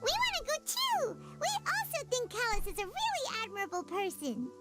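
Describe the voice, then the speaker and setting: A woman voicing a tiny, eager, childlike fairy companion in an anime game, squeaky and exclaiming. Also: wildly swooping pitch, moderate pace, exaggerated voice. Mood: cheerful, eager. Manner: speaks of self in third person, exclaiming. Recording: music playing behind the voice.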